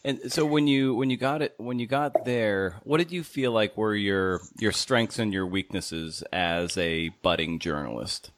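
Male podcast host, low voice, dry close sound, loose and searching interview questions. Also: no echo. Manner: halting, meandering questions. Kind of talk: casual conversational interview. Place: small untreated home office.

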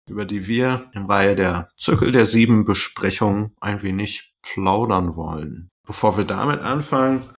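A man with a somewhat low voice opens the show, greeting listeners and handing the talk to his co-host. His delivery is friendly and unhurried, with a few false starts. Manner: friendly, plays the moderator, slow hesitant intro. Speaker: podcast co-host. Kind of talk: casual podcast hosting.

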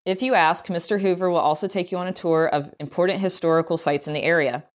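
A woman's voice: lowish voice, fairly even intonation, quick pace. A woman reading a scripted travel essay aloud as narration. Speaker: essay reader.

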